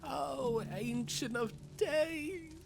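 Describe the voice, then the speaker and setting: A woman voicing a sly creature of the night in a fantasy audio roleplay, theatrical and mockingly reverent, dropping into breathy tones. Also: high voice, extremely slow, exaggerated voice. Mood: mock reverent.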